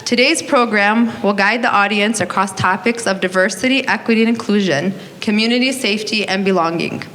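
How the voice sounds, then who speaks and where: A woman's voice: medium pitch, brisk, fairly bright tone. Woman reading formal event introduction. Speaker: museum deputy director introducing speakers. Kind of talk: prepared introduction read aloud. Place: auditorium. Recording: reverberant auditorium, faint noise.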